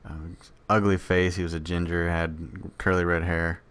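A man's voice: deep voice, level tone, moderate pace, a bit bright, smooth. A young man co-hosting a podcast in casual chat, laid-back and laughing often. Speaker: podcast co-host.

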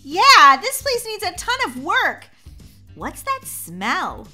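A woman playing an eager, expressive young wife hunting for a first home in a game roleplay story. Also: extremely high pitch, slow, faint music behind, exaggerated voice. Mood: dismayed.

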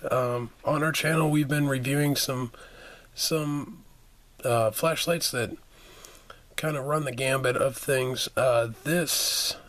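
Hoarse man giving a casual product review. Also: mid-range voice, fairly bright tone, no echo. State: hoarse, a little under the weather. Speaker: product reviewer and channel host. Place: indoors, carpeted room.

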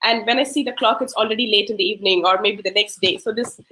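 A woman's voice: mid-range voice, fairly even intonation, fast, pushed and bright, poor audio. A woman presenting her coding project on a video call. She laughs often and speaks in an earnest way. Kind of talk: online presentation talk.

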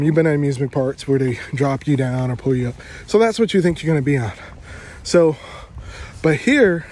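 A young man telling a travel story to his phone camera outdoors, animated and playing it up for effect, with plenty of fillers. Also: on the high side, slight background noise. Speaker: travel vlogger. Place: outdoors among trees.

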